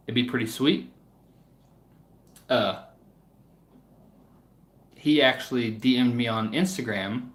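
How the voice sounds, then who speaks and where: A man's voice: medium pitch, fairly bright tone. A man chatting casually to viewers as a livestream host, in a hesitant, rambling way that often trails off. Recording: roomy, echoing room sound.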